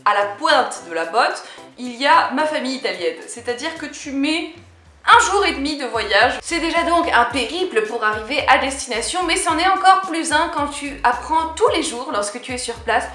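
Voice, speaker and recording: A chatty, playful young woman speaks straight to her viewers in a high, bright voice. She keeps it casual and lively, and the sound rings in an echoey room. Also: normal speed, faint music behind. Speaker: vlogger. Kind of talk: casual talk to camera.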